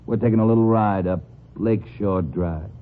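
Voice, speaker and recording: A man's voice: on the low side, moderate pace, lightly acted. An actor playing a sneering, bullying gangster in a 1950s radio crime drama, with slangy tough-guy talk. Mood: gruff, commanding. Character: menacing, sneering male gangster, bullying and impatient. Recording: muffled, noisy old 1950s radio recording.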